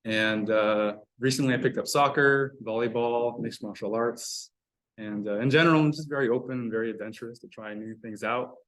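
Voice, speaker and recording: A man in his twenties with an American accent gives a prepared ice-breaker speech to his club in an earnest, measured and sincere way, with the odd filler. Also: medium pitch, fairly bright tone, rough recording. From United States. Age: 20s. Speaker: club member giving a speech.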